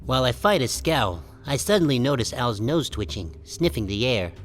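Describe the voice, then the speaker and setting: A man's voice: medium pitch, big pitch swings, normal speed, fairly bright tone, a little hiss or hum. A man playing a wary, resentful sheep who narrates his own story in a wry, guarded audiobook style. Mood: wry, tense. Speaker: first-person narrator and protagonist. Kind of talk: scripted first-person audiobook narration.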